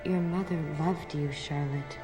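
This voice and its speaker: An actress playing a calm, compassionate woman in a video game, speaking gently and solemnly. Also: low-pitched, unhurried, over music. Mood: solemn, gentle. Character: calm, compassionate woman consoling a restless spirit. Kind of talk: dramatic video game dialogue.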